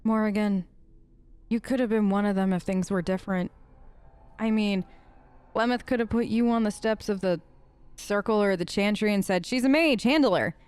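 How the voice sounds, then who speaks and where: A woman's voice: mid-range voice, normal speed, pauses often, darkish tone, clean tone. A woman hosting a role-play let's play, improvising her character's lines in casual, earnest, informal talk.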